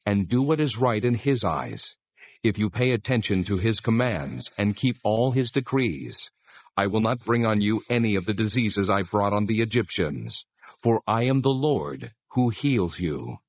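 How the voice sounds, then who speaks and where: A stern yet caring male deity giving law in a scripture audio drama. The voice is fairly deep, solemn and authoritative, recorded close and dry. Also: lively intonation, measured pace, no room sound. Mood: solemn promise. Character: God, stern yet caring divine lawgiver.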